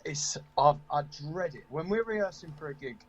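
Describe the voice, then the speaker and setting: A man's voice: on the high side, animated, brisk. A man chatting casually as an interviewed band member, emphatic and self-deprecating. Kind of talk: casual chat. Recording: dull lo-fi call audio.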